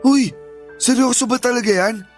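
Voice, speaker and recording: A cheating live-in boyfriend, shameless and evasive. His voice is high for a man, with a whiny, defensive delivery in radio drama style over faint music. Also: normal speed. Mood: startled, pleading. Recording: music behind the voice.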